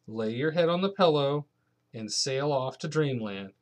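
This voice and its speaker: A man acts out an elderly storybook granny who dotes on a child and bosses him about. The character is excitable and easily shocked, prone to loud exclamations. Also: mid-range voice, unhurried, lightly acted. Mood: doting, instructing. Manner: bossy, doting, exclaiming loudly. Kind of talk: bedtime picture-book character voice.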